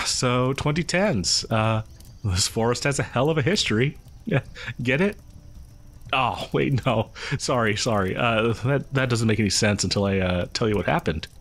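A man's voice: medium pitch, animated, normal speed, a bit bright. A man playing a chatty, creepily friendly killer posing as a partygoer in a horror audio roleplay, rambling hesitantly and conspiratorially with chuckles. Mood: chatty, amused. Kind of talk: horror audio roleplay, casual storytelling to listener.

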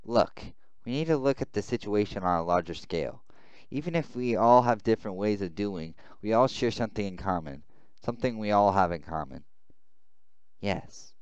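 A man's voice: lowish voice, lively intonation, normal speed, clear, resonant tone, no room sound, highs cut off, natural voice. Guy doing a scheming rebel soldier, swings up and down a lot, coaxing, visual novel. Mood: persuasive. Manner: calculating, coaxing.

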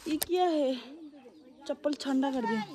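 A young woman from Arunachal Pradesh, chatting excitedly to her phone camera while vlogging around a hill village. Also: sits high, a little slow, noisy background. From Arunachal Pradesh, India. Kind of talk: casual vlog narration.

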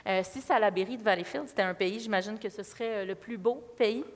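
Woman, thirties, Quebec French accent, panel moderator, mid-pitched voice, big echoing hall, headset mic. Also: fast. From Quebec, Canada. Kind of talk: hosting an on-stage panel discussion. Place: large auditorium stage. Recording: reverberant hall.

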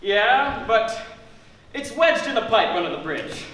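A young man playing a boisterous, boastful store cart hunter in an amateur stage comedy, loud and theatrical. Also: high voice, sing-song, measured pace, full character voice. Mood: dismissive. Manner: loud, theatrical whooping and bragging. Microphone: distant camera. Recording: echoey stage recorded from far off, faint noise.

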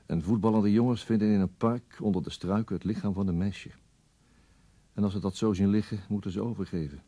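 Tired brooding detective narrating a radio drama, really dark gravelly voice, resigned. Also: male voice, on the low side, fairly even intonation, fairly quick, no echo. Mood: somber. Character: weary, brooding male police detective narrator. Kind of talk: dramatic radio play monologue.

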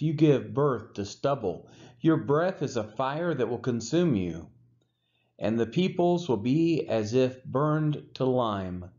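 A man reading scripture aloud in a measured, unhurried way, as the regular reader of a daily series. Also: medium pitch, muffled recording. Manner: measured, expressive rises and falls.

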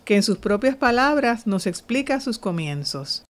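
A woman's voice: a little high, big pitch swings, normal speed, background noise. A woman reading scripted documentary narration.

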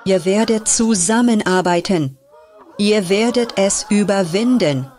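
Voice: medium pitch, unhurried, crowd noise, close to an everyday voice. A performer voicing a school principal making a formal announcement to students in a children's audio drama.

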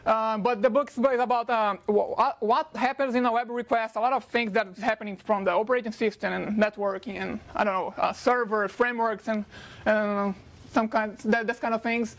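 Man, Brazilian Portuguese accent, native Portuguese speaker, fast conference talk, frequent fillers, lecture hall. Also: sits high, steady tone, a bit bright.

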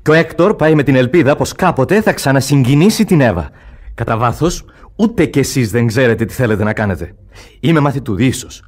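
Man playing an earnest, lively-pitched young thief. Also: natural voice. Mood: defiant. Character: earnest, lovesick young apprentice thief, stubborn. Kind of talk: theatrical radio play acting.

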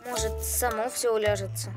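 A woman's voice: on the high side, fairly even intonation, normal speed, natural voice. A woman voicing a sensible, earnest young boy in a cartoon, matter-of-fact in delivery. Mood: puzzled. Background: music.

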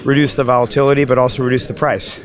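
A man's voice: medium pitch, flat delivery, fast talker. An older man giving confident opinions in a street interview on a snowy night street, into a handheld mic. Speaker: business executive. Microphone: handheld mic held by interviewer. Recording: crowd noise on street.

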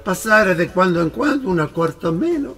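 An actor playing a worldly-wise Italian grocer in a radio play, with an Italian accent and a sly, good-natured scolding manner. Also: male voice, sits high, animated, moderate pace, sharp tone, heavily acted. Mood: teasing, advising. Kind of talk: radio drama acting. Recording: music behind the voice.

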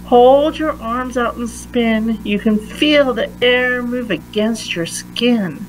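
Slow-paced woman reading to children. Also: on the high side, a little hiss or hum, low-quality recording. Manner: deliberate, emphatic phrasing for young listeners. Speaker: read-aloud reader. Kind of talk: read-aloud of a children's science picture book.

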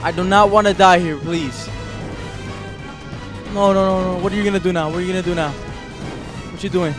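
A man hosting a gaming video with excitable, slangy, profane commentary, often repeating phrases and yelling. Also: sits high, normal speed. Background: video game music and sound effects.

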